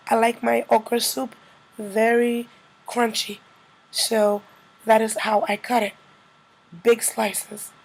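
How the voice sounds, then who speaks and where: A woman with a slightly hoarse, congested-by-a-cold voice, narrating a casual cooking tutorial as a vlogger. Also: fairly high pitch, darkish tone, low-quality recording.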